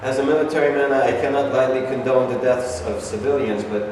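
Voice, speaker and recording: A man's voice: mid-range voice, a little fast, darkish tone, natural voice. A man in a staged reading, playing a blunt, principled army general with a troubled conscience. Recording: distant, very echoey noisy hall recording.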